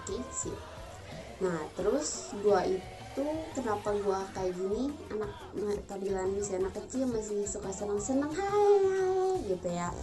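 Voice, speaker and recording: A woman's voice: mid-range voice, lively intonation, a little slow. A 21-year-old woman from Bandung with a Sundanese Indonesian accent, talking casually and emphatically to her viewers in slangy speech. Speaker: vlogger. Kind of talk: casual talk to camera. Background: music.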